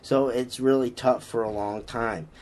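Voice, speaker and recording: A man's voice: mid-range voice, steady tone, measured pace, a little mellow. A man with a Boston accent, a strength coach chatting casually on a podcast, rambling with lots of fillers and restarts. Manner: rambling, frequent fillers and restarts, upbeat about lifting.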